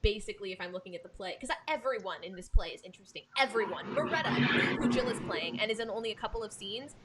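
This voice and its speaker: A woman who works as a theatre director, talking casually in a discussion in an emphatic, expressive way, repeating words for stress. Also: quick pace. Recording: clear background noise with low rumble.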